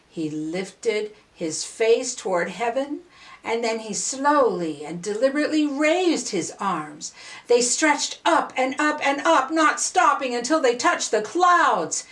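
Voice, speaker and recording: An older woman reading a children's story aloud in an expressive, deliberate way with dramatic pauses. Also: a little high.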